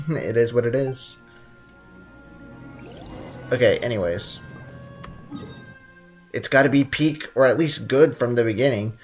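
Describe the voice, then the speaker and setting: A man's voice: mid-range voice, slow pace, pauses often. Casual, slangy young male game streamer. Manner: slangy, playful, banters with viewers. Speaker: video game live streamer. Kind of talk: casual chat while gaming. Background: game music.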